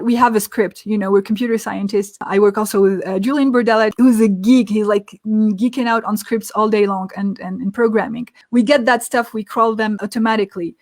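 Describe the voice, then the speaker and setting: A woman guest expert explaining marketing tips in an energetic, emphatic way, step by step. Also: mid-range voice. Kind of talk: tips presentation in an interview.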